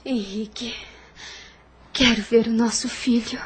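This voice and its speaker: An actress in an audio drama playing a weak, dying young mother, tender but resolute, speaking haltingly with a breathy, faint voice. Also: on the high side, fairly even intonation, heavily acted. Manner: halting, slow, earnest.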